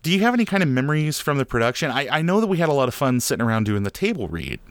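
A man's voice: mid-range voice, very animated, racing, clean tone, dry recording. A man interviewing someone casually, joking and rambling as he goes. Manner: jokey, rambling.

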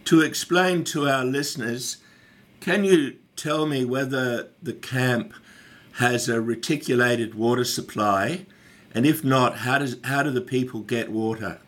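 A man asks an interviewee calm, measured questions in a radio interview. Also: medium pitch.

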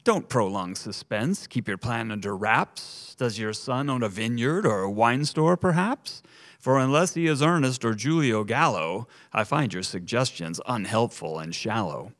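A man's voice: fairly low pitch, animated, measured pace, fairly bright tone. A man voicing a condescending head waiter in a rhyming spoken story. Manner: sniffy, dismissive.

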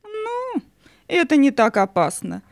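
A woman acts out Night, a stately female spirit in an audio fairy-tale play. Her voice sits fairly high and swings widely, motherly yet cunning, with drawn-out theatrical phrases in a dry recording. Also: wildly swooping pitch, no room sound, heavily acted. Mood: reassuring, sly.

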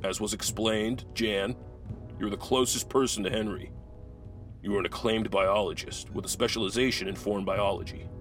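Guy doing a deep-ish stern commander, formal crew briefing, bit of music under it. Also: fairly even intonation, a bit bright, natural voice. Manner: formal, deliberate, businesslike. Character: stern male military commander addressing his crew. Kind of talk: sci-fi audio drama acting, formal briefing.

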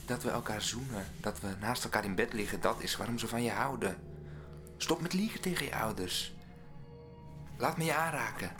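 Low-voiced, manipulative middle-aged lover. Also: female voice, normal speed, music in the background. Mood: coaxing, pressuring. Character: manipulative mid-forties lover, sweetly coaxing and cutting. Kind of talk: audio drama acting.

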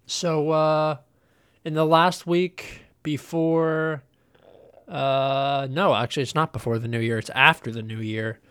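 A man's voice: on the high side, takes it slowly, clear, resonant tone, no echo. Congested, nasal young man rambling. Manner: rambling, relaxed, with many ums and uhs. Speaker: podcast host. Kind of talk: casual solo podcast chat.

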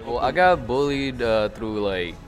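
Guy from the philippines talking steady outside, lotta "you know", music going. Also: medium pitch. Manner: measured, says "you know" a lot. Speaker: student interviewee. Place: outdoors on a college campus.

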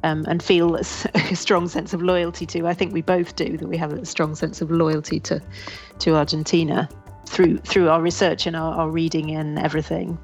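A woman's voice: sits low, flat delivery, moderate pace, faint music behind. A woman who translates books, chatting thoughtfully in an interview and laughing easily. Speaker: literary translator and academic. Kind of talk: conversational interview answers. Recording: dull lo-fi audio.

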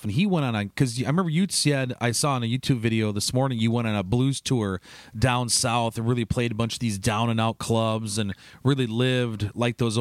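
A man's voice: lowish voice, dry recording, studio quality. A man hosting a casual interview chat, rambling and easygoing, often laughing. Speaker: podcast host.

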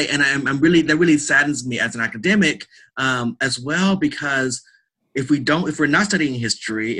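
A man's voice: mid-range voice, bright, forceful tone, low-quality recording. A man speaking casually as an interview guest, restarting thoughts and checking in with "right?" as he reasons aloud. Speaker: podcast guest, theatre artist and academic.

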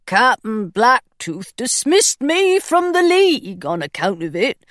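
Woman playing a high, thin, fretful storybook pirate, slow and apologetic. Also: sing-song, a bit bright, no room sound, studio quality. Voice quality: thin and anxious. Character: thin, anxious, hapless male pirate.

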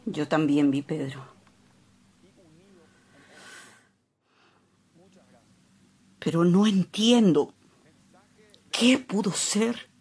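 An actress playing a frightened, urgent wife in a haunted-house radio play. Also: female voice, fairly low pitch, lively intonation, takes it slowly, noisy background. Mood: bewildered. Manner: urgent, fearful. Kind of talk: Spanish-language radio play acting.

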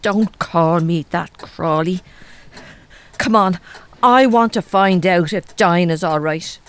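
A woman's voice: fairly low pitch, slow, a little hiss or hum. An actress playing a jumpy, blunt young Irish woman in a comedy audio drama. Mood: agitated. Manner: nervy, blunt, salty. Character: jumpy, plain-spoken young Irish woman, easily spooked.

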